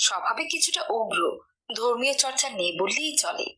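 Bright, high-voiced woman narrating. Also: animated, fairly quick. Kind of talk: scripted story narration for a cartoon.

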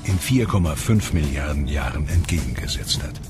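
A man narrating a science documentary in a measured, ominous scripted voice-over. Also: a bass voice, lively intonation. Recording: studio voice-over over loud music. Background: music.

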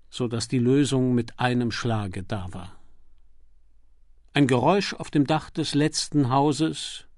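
Male narrator for a literary crime audiobook: creaky mid-range voice, dramatic pacing with weighty pauses. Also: medium pitch, darkish tone, dry recording, clean studio sound.

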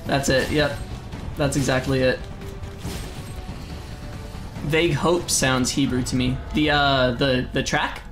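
A young man from the United States chatting casually with his stream chat while gaming at home, reading messages aloud and breaking into sudden loud exclamations. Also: medium pitch, steady tone, slow, pauses often, over music. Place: home room, seated in desk chair.

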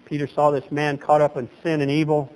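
A middle-aged man preaching a sermon as pastor at a lectern in a small chapel, animated and gesturing, his voice leaping up for emphasis. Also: on the high side, a little fast, clean tone, poor audio.

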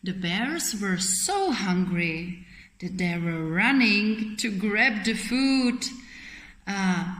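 A woman in her thirties tells a picture-book story to small children in simple, expressive phrases, asking questions as she goes. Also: mid-range voice. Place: indoor children's classroom. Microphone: camera a short distance away. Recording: roomy echo, faint background noise.

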